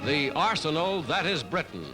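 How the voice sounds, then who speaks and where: A man's voice: on the high side, very animated, moderate pace. An American man narrating a scripted 1940s newsreel in a dramatic, declamatory announcer style on a vintage soundtrack. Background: orchestral music.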